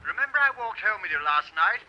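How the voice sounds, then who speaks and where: An actor playing a brisk, businesslike English police inspector, clipped and matter-of-fact, heard through a telephone in a radio drama. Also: male voice, sits high, very animated. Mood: brisk, urgent. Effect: telephone filter. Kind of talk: vintage radio drama acting. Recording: dull tinny telephone line.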